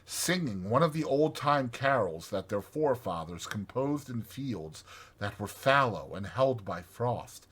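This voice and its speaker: Expressive, unhurried male storybook narrator. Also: lowish voice, husky. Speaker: audiobook narrator.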